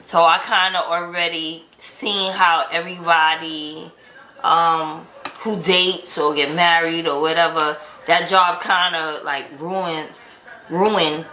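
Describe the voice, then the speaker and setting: An American woman telling a story in a casual, chatty way, acting out bits of quoted dialogue as host. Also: on the low side. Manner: animated retelling with quoted dialogue. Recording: roomy echo, light background noise.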